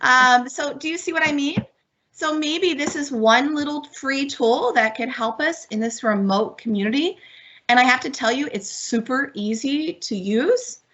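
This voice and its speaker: A woman's voice: sits high, sharp tone. A woman from Miami whose first language is Spanish, giving an upbeat, encouraging webinar presentation to teachers over a video call. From Miami, United States. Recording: dull lo-fi webinar audio.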